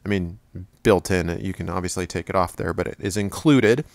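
A man giving a relaxed, rambling product review at home, with plenty of fillers and restarts. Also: low-pitched, normal speed, creaky, no echo.

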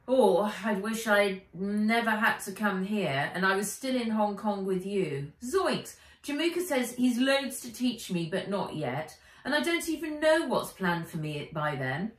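A woman's voice: mid-range voice, expressive, measured pace, hardly any pauses, voice pushed up, natural voice. A woman voicing a dramatic, exasperated young heiress girl. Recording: echoey room, voice a little far off.

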